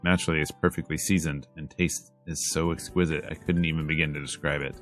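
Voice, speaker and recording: Low-voiced man reading a game aloud. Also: flat delivery, quick pace, soft background music. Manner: casual, slangy, reads narration and dialogue aloud. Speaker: streamer reading a visual novel aloud. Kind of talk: casual let's play read-aloud.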